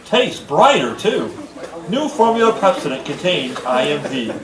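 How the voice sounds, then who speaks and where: Lively, high-voiced male ad announcer. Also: a little slow, roomy sound, distant mic, crowd noise, rough recording. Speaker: commercial announcer. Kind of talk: vintage radio ad pitch.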